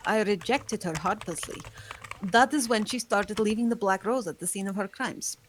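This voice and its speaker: A woman's voice: mid-range voice, normal speed, slight background noise, lightly acted. Woman acting an ancient, brooding female vampire. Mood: wistful, brooding. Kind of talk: scripted drama table read.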